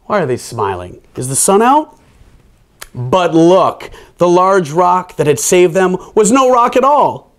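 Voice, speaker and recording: Animated, higher-voiced man reading storytime. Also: measured pace. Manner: playful, theatrical. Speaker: children's storytime host. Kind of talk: expressive picture-book read-aloud with casual asides.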